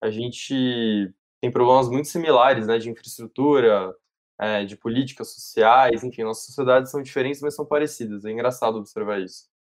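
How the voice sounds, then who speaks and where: A Brazilian man acting as interview host, speaking in a calm, measured way at a mid pitch. He thinks aloud and hedges before he gets to each question. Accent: Brazilian Portuguese.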